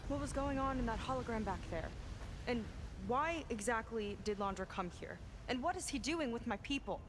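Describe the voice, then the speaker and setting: An actress playing a proud, guarded young female marine in a video game cutscene, brisk and plain-spoken, with a wry edge. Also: a little high, animated, noisy background, lightly acted. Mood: suspicious, pressing. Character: proud, guarded young woman marine scout seeking her sister.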